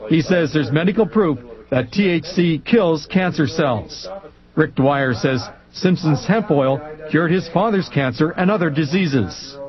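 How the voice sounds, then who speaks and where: A man delivers a TV news report in a measured, crisp voice pitched a little high. The broadcast sound is muffled. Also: lively intonation.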